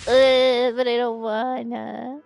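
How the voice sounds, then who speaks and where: A woman with a notably high voice streams a game at an unhurried, slow pace. She talks casually to her chat in a playful, expressive way, with music playing softly behind her. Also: creaky. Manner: playful, expressive, pleading and exclaiming. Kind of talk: casual livestream chat over gameplay.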